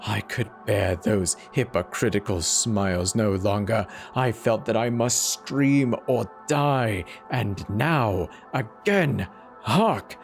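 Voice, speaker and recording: A man voicing a guilt-racked, paranoid murderer in a theatrical, deliberate dramatic horror reading with drawn-out pauses. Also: on the low side, gravelly, soft background music. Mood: frantic, tormented. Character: guilt-racked madman murderer confessing in growing paranoid agitation. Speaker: storyteller.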